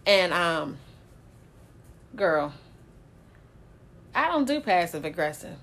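A woman's voice: fairly low pitch, big pitch swings, slow pace, vocal fry, fairly bright tone. A woman talking candidly in a casual chat, blunt and swearing freely, often breaking into laughter.

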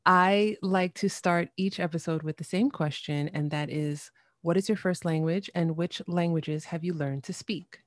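A woman's voice: fairly low pitch, fairly even intonation, normal speed, no echo, studio quality. A woman hosting a podcast interview, upbeat and welcoming as she introduces and questions her guest. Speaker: podcast host.

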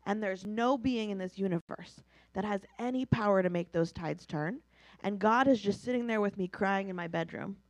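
An American woman talks through her thoughts in a study-class discussion. Her delivery is conversational, personal and emphatic, with a medium pitch. The sound is dry, with very little room around the voice. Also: moderate pace, no room sound, rough recording. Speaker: participant in a Talmud class discussion. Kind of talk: reflective spoken discussion in a religious study class.

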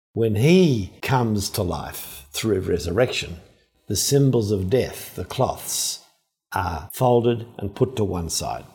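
A man with a low, mellow voice preaches at an unhurried pace. He is earnest and measured, and he dwells on each phrase as he reflects on scripture. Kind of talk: reflective Easter homily.

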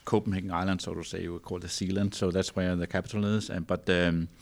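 A man's voice: deep voice, flat delivery, fast, gravelly. A man from Denmark with a Danish accent, speaking English as a second language as a guest in a casual interview, often restarting his phrases.

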